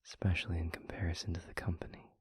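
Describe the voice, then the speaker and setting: A man's voice: sits low, flat delivery, no echo, clean studio sound. Man playing a whispering, tender demon lover. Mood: loving, modest. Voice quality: breathy, hushed whisper. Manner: slow, gentle, formal poetic phrasing. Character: tender, anxious male demon lover, eloquent and devoted. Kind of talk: intimate scripted audio roleplay, ASMR. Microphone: very close microphone, dry.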